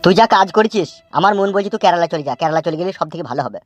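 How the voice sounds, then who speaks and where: A man's voice: high voice, very fast, no echo, faint music behind, lightly acted. An actor voicing an anxious, scolding old cartoon father with a rural West Bengal Bengali accent, nagging and repeating words. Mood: worried, pleading. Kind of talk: comic cartoon dubbing.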